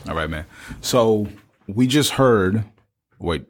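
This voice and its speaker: A man's voice: fairly low pitch, expressive, slow. A man co-hosting a podcast, speaking in an informal way. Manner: informal, slangy. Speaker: podcast co-host. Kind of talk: casual banter and scripted narration.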